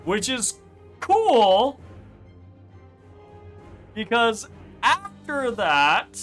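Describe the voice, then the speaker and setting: A man running a tabletop game as game master, casual and animated, swearing freely and trailing off with filler words. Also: sits high. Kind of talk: narrating a tabletop game online.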